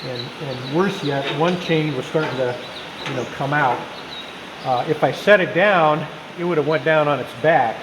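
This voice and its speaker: A man's voice: fairly high pitch, normal speed. Man in a reverberant, noisy workshop. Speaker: workshop host and machinist. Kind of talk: informal hands-on explanation of machinery. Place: indoors in a machine workshop. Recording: reverberant workshop with clear background noise.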